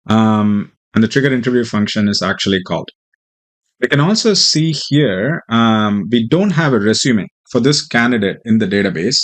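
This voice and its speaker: Male tech presenter, lowish voice, explanatory, hesitant delivery with plenty of filler. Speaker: software developer presenting a demo. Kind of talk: tech tutorial walkthrough and mock interview answers.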